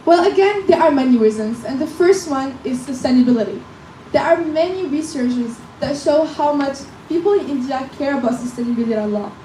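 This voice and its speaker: A young woman from Morocco in her late teens gives a rehearsed business pitch and counts her points off one by one. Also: dark tone, a little hiss or hum. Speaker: student presenter. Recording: echoey room from a distance.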